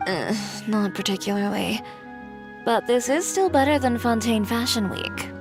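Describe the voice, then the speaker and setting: A woman voicing a composed, reserved shop owner in a video game, measured and matter-of-fact. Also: on the high side, music in the background.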